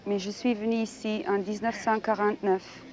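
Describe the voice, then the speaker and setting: A woman from France answers in short, plain sentences with a flat, even voice. She is a teacher, speaking in an older radio interview. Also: mid-range voice, moderate pace. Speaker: secondary school teacher.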